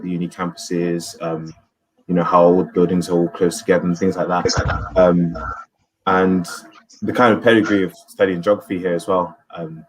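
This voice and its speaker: A young man answers in a very deep, low voice. He sounds laid-back and loose, filling his answers with you know and like. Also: rough recording. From London. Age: young adult. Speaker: undergraduate student panelist. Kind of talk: casual Q&A answers.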